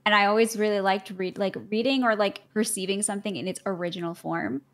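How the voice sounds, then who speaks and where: A woman's voice: mid-range voice, steady tone. A young adult woman in casual chat as a guest, rambling and filling her talk with "like". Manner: chatty and rambling, heavy use of "like", quick to laugh.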